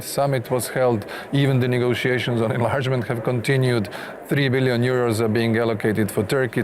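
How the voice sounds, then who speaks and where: Man debating over clear background noise. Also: medium pitch. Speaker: politician on a debate panel. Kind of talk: formal political debate.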